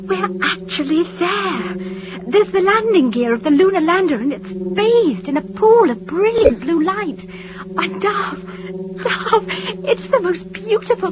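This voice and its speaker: A woman playing a gentle, earnest, wonderstruck young space traveller in a science fiction radio drama. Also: extremely high pitch, animated, slow, full character voice. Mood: awed, thrilled. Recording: dull, noisy radio drama recording.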